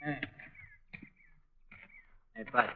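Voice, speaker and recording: A man's voice: very slow, narrow, tinny audio, a little hiss or hum. An actor playing a brusque working-class pickup man in an old radio drama, blunt and slangy. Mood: impatient. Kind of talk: 1950s radio detective drama acting. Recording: thin, band-limited old radio recording.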